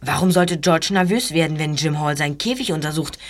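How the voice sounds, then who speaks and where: A woman's voice: deep voice, brisk, natural voice. A woman voicing a studious, inquisitive young boy detective in a German audio drama, with an earnest, questioning manner.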